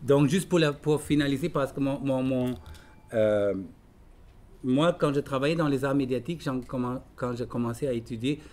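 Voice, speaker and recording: A middle-aged man giving an artist's talk in a language that is not his first, halting and searching for words with many fillers. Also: medium pitch, a little mellow. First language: not French. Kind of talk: lecture talk.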